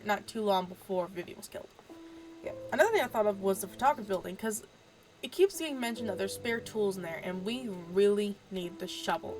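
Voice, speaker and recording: A woman's voice: mid-range voice, low-quality recording. A woman hosting a gaming video, stuffed up from allergies, chatting in a rambling way full of fillers and keeping her voice down so as not to wake anyone at home. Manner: talks quietly so as not to wake others. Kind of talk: casual let's-play commentary. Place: room at home at night. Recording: static from a faulty mic.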